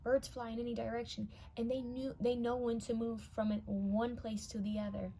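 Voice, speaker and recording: A young woman giving a casual pick-a-card tarot reading to her viewers, her voice a little raspy from many readings, now and then dropping to a whisper as she reads the cards. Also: a little high, little pitch movement, normal speed, mellow, a little hiss or hum. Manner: intuitive, addresses viewers directly, drops to whispers while reading cards.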